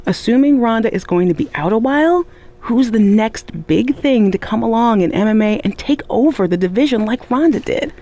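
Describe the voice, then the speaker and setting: Mellow-voiced young podcast co-host. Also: female voice, fairly high pitch, expressive, normal speed. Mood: eager. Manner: casual, conversational. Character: young female MMA-junkie podcast co-host, slightly exasperated. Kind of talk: video game cutscene acting as podcast chat.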